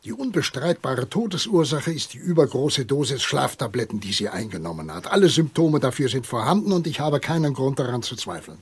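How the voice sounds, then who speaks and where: An actor playing a weary old country doctor in a radio drama, grave and probing, speaking in solemn, halting questions. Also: male voice, mid-range voice, lively intonation, husky. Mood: firm, accusing. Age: older.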